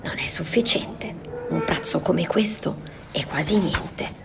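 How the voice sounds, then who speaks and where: A woman voicing a sly, greedy male wolf in a storybook reading, speaking in a hushed, low whisper. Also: takes it slowly, over music. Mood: grumbling.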